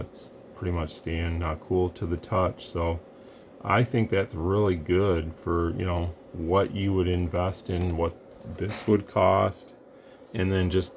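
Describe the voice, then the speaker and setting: A man's voice: low voice, a little hiss or hum. A man casually talking through a hands-on radio equipment demo at his workbench, rambling and thinking aloud as he goes.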